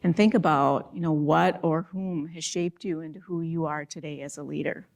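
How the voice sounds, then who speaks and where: A woman's voice: low voice, fairly even intonation, normal speed, vocal fry, darkish tone. A woman moderating a panel, speaking earnestly with frequent restarts and fillers. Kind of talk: reflective panel talk.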